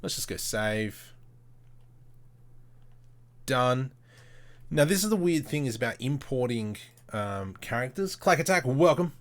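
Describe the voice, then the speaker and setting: A man hosting a casual live software tutorial, thinking aloud in short, informal, slangy step-by-step phrases. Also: mid-range voice, lively intonation, a little slow, long pauses. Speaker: tutorial host, livestreamer. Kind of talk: casual live screen-share tutorial commentary.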